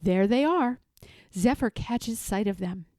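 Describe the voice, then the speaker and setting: A woman reading a children's story aloud in an animated, theatrical, expressive way. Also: medium pitch, measured pace, dry recording, clean studio sound. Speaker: storybook reader.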